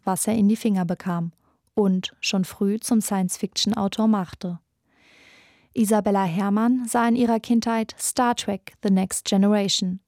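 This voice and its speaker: A woman's voice: mid-range voice, a little mellow, no echo, clean studio sound. A young woman reading scripted documentary narration for a podcast. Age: young adult. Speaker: podcast narrator, radio intern.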